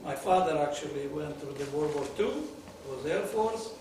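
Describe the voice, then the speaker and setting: Guy talking to a crowd, bit high voice, far off in an echoey hall, noisy. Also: expressive, normal speed. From Soviet Union, grew up in Kyiv. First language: Russian. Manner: joking, laughing, broken English. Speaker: guest speaker at a benefit. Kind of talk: informal talk to an audience. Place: lit stage in an arts center room.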